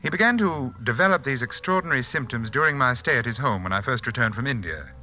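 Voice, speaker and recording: A man playing a suave, sinister sanitarium doctor in a 1940s radio mystery, polite, composed and quietly menacing. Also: mid-range voice, expressive, quick pace. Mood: suavely polite.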